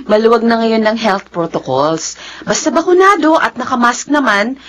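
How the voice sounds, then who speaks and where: A young woman who loves to tease and meddle. Her fairly high voice swoops playfully in a sing-song way. It is lively radio drama acting. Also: unhurried, exaggerated voice. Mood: teasing. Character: teasing, meddling young woman, the heroine's friend.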